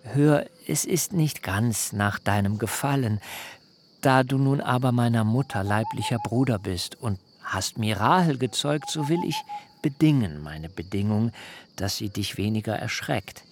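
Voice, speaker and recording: Ein Schauspieler spielt in einem literarischen Hörspiel einen alternden, beharrlichen Hirten, der getragen und in altertümlichen Wendungen spricht. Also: male voice, mid-range voice, animated, a little hiss or hum. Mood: bargaining. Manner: measured, solemn, archaic phrasing. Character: aging herdsman, long-serving nephew, deliberate and insistent. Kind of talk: literary radio drama acting.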